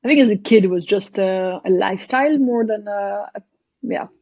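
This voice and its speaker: A woman's voice: mid-range voice, moderate pace, a little mellow, dry recording, lo-fi sound. A woman from Belgium, a startup founder, talking as a podcast guest, thoughtful and hesitant, with many fillers. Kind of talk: casual interview chat.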